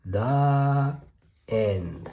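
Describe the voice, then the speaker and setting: A storyteller reading a rhyming children's picture book aloud with rhythmic, expressive delivery. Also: medium pitch, drawn-out words. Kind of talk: children's read-aloud narration.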